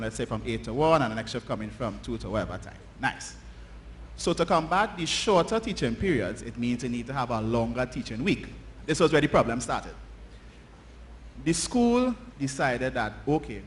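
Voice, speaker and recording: Trinidadian man storytelling, noisy live recording. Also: mid-range voice. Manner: conversational, checks in with listeners using short tag questions. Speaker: church children's story teller. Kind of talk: informal storytelling to a congregation. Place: church, speaking to children and congregation. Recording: noisy live church recording, often distant.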